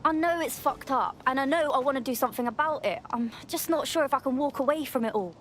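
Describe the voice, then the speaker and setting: A woman's voice: high-pitched, fast talker, slight background noise, close to an everyday voice. A woman voicing a blunt, colloquial young British rebel recruit in a video game. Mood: hesitant. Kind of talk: video game cutscene acting.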